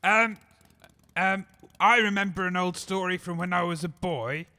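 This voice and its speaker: Man acting a simple, odd, piercingly bright oddball. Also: high voice, normal speed, full character voice. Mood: earnest. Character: simple-minded, earnest, odd male supper-club member. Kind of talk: comedic audio drama acting.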